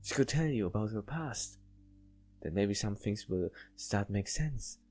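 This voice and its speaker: Man doing a higher-pitched, coaxing female friend. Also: expressive, a little slow, darkish tone, soft background music. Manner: earnest, coaxing. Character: caring, insistent female friend, gently coaxing.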